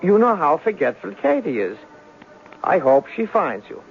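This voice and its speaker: An actor playing a lovesick, exasperated young Irish immigrant in 1970s radio drama, earnest and stammering with an Irish accent. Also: male voice, sits high, sing-song, moderate pace, vocal fry, faint music behind, full character voice. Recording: muffled, hissy old radio recording.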